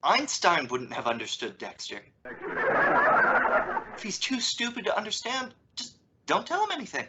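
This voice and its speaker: A woman's voice: medium pitch, wildly swooping pitch, moderate pace, long pauses, noisy background. A woman playing a wry, exasperated but loving middle-aged father in an old-time radio sitcom, with emphatic, sarcastic delivery. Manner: dry sarcasm with emphatic swoops. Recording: dull, lo-fi audio.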